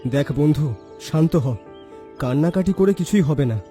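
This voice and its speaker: A man's voice: fairly high pitch, normal speed, dark tone, soft background music, close to an everyday voice. An actor playing a crafty, confident young best friend in a cartoon, bossy and teasing as he urges his pal on. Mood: urging. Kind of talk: dramatic cartoon voice acting.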